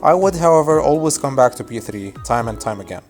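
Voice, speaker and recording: A man's voice: medium pitch, animated, brisk, darkish tone, faint music behind. A man in his twenties whose first language is Arabic, narrating a scripted video essay in a casual, wry, nostalgic way. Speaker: YouTube narrator.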